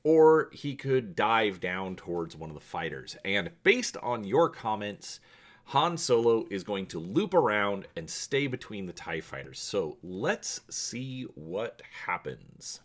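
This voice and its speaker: Expressive low-pitched man narrating a story. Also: slow pace. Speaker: host and narrator of a story channel. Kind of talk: dramatic storybook reading with host commentary.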